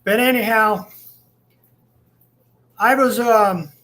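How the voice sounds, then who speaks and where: An older man in his sixties with a high voice introduces himself as a guest over a video call. He speaks hesitantly and fills gaps with frequent "um"s. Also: slow pace, sharp tone. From Ohio, USA. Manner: hesitant, many "um" fillers. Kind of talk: casual self-introduction.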